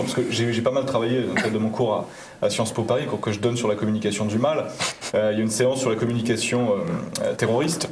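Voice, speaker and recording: A man's voice: fairly low pitch, a little mellow, roomy sound, a little hiss or hum. A man speaking as a guest and lecturer on a TV panel debate, insistent and repeating himself when he is interrupted.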